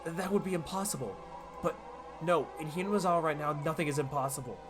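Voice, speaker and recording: Man reading dramatically over loud music. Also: fairly high pitch, fairly quick. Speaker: streamer. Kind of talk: dramatic read-aloud of a visual novel.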